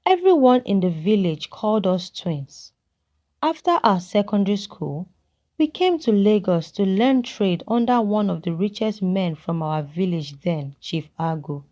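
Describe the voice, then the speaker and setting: A woman voicing a kindly, regretful old man who talks in a measured, fatherly way in a narrated folktale. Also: medium pitch, lively intonation, mellow, highs cut off, natural voice. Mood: reminiscing, regretful. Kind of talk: character dialogue in a narrated folktale.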